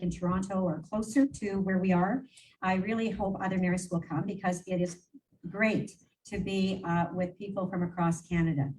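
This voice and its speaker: A woman's voice: fairly low pitch, a little mellow. A woman reporting to a council meeting, chatty and rambling, with many fillers and restarts. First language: English. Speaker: regional council warden reporting to mayors. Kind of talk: meeting report, casual and unscripted. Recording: distant voice, faint room noise.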